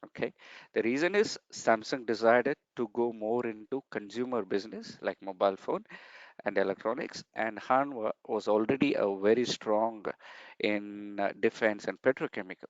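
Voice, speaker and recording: A man running an online sales training session, explaining step by step and often pausing with fillers and checks like "okay". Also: lowish voice, dry recording. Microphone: video call. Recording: dull, dry video call audio.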